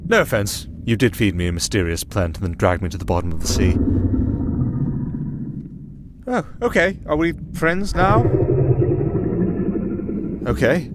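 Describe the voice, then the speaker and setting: Castaway sailor-singer for fantasy audio roleplay, male actor. Medium male pitch, wry and faintly sad, halting asides, noticeable background ambience. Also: natural voice. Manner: hesitant, dryly sardonic, chatty. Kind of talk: ASMR roleplay monologue. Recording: clearly audible ambient noise under voice.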